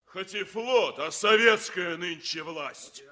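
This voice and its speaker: An actor playing an agitated, pleading sailor in a 1960s Soviet radio drama. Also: male voice, sits high, animated, takes it slowly, bright, forceful tone, heavily acted. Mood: urgent.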